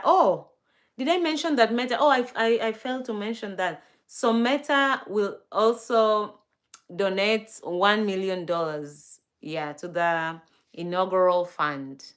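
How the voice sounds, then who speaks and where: A young Kenyan woman hosts a relaxed live stream at an unhurried pace, her voice pitched in the middle. She chats with viewers, greets them by name and laughs often. Her talk is loose and full of fillers. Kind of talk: casual live-stream chat.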